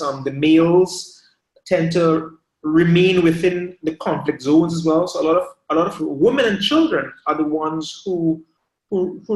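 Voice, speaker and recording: Formal man in an echoey room. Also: on the high side. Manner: formal, wordy, academic phrasing. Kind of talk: online seminar question. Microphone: video call. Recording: echoey room over video call.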